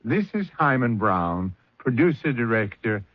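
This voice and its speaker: A man closing a radio drama with a measured, wry reflection and reading the credits. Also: medium pitch, very animated, no room sound. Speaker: host. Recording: muffled old radio with faint music.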